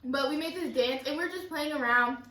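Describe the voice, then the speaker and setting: A young woman vlogger chatting casually and retelling stories in an animated way, quoting who said what. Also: high voice, brisk. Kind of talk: casual storytelling chat during a mukbang. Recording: roomy echo, distant mic.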